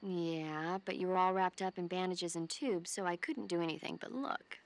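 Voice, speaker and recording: An actress playing a caring female military medic in a video game, earnest, playful and gently scolding. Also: lowish voice, moderate pace, lightly acted. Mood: friendly teasing.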